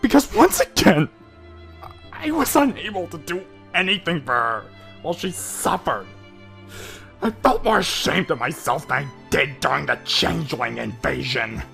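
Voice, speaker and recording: Casting: amateur reader as male pony, protective older brother, tearfully furious and menacing, highly animated delivery, music underneath. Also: normal speed, vocal fry. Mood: tearful anguish. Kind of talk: amateur dramatic fan fiction reading.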